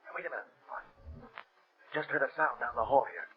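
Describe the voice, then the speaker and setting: An actor playing a tough, commanding police officer on a raid in a 1930s radio drama. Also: male voice, mid-range voice, brisk, close to an everyday voice. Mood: tense, commanding. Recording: tinny band-limited 1930s radio recording.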